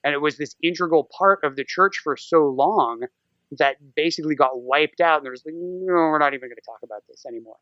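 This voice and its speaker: A man's voice: on the high side, a little fast, bright, forceful tone, no room sound. A man talking casually as a podcast guest, telling stories in a whimsical, irreverent way. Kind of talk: casual humorous chat and storytelling. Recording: slightly dull, muffled lo-fi audio.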